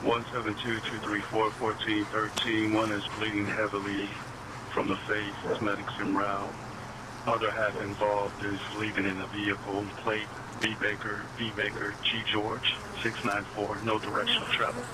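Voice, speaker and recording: A man's voice: fairly low pitch, level tone, moderate pace. A man working as a police dispatcher, speaking in terse, procedural phrases over scanner radio. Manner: terse, procedural, spells with phonetic letters. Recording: police scanner audio, loud buzzing ambient noise. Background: bees buzzing at outdoor hive.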